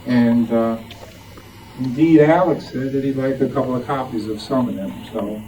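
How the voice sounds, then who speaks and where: A man talking informally to fellow club members in a halting, offhand way. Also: mid-range voice, warm tone. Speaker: club member presenting papers. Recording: somewhat distant mic.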